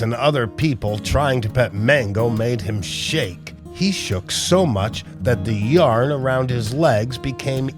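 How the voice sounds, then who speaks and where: Male read-aloud narrator, mid-pitched, unhurried and expressive, with plucked guitar music behind the children's story.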